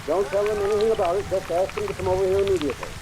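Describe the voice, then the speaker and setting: An actor playing a grave, earnest doctor in a vintage radio drama, formal and emphatic in delivery. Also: male voice, high voice, rapid-fire, background noise, natural voice. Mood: grave, urgent. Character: earnest, grave male doctor recounting a monster tale. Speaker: actor and story narrator. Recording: old radio recording under loud rain. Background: heavy rain ambience.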